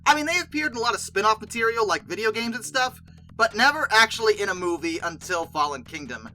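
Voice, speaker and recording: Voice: mid-range voice, animated, fast talker, sharp tone, faint music behind. A video host giving casual, opinionated commentary in a conversational, emphatic way with exasperated asides.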